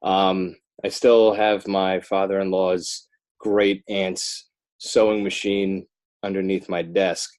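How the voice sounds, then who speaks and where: An American man chatting casually as a podcast guest, often rambling, halting and correcting himself as he goes. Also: low voice, flat delivery, a little mellow. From Northern New Jersey, United States. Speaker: podcast guest, children's book author. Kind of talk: casual podcast interview chat.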